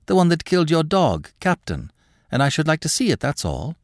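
A calm, shrewd young woman who presses her questions gently and sympathetically , as a male narrator puts her on. Her voice is set a little above his own. The recording is close and dry. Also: on the high side, a little fast, no room sound, voice pushed up. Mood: calm, probing.

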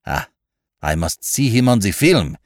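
A man's voice: lowish voice, big pitch swings, measured pace, dry recording. Fussy little French-accented sleuth played by a male narrator, courtly and self-assured, hugely varied intonation, close dry storybook sound. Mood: explaining, smug. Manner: courtly, flourishing, emphatic phrasing. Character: small fussy French-speaking detective, cheerful, placid, self-assured.

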